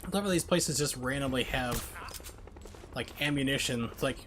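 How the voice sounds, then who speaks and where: A man's voice: mid-range voice, moderate pace, noisy background. A man casually commentating on his live gameplay, with playful, rambling asides. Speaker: video game streamer. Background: video game sounds.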